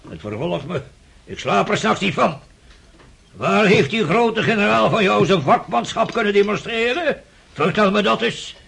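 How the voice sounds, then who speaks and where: Crusty old prince in a radio play, creaky high voice, sputters and blusters, very scornful. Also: male voice, expressive, moderate pace, a bit bright, exaggerated voice. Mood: scornful, grumbling. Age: older. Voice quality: husky, creaky. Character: cantankerous, opinionated old Russian prince, a scornful patriarch. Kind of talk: radio drama acting.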